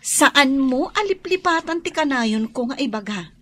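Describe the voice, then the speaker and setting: A bubbly, caring young best friend in a radio drama. She speaks high and slowly in an Ilocano accent, stretching words expressively. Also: animated, a bit bright. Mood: concerned, advising.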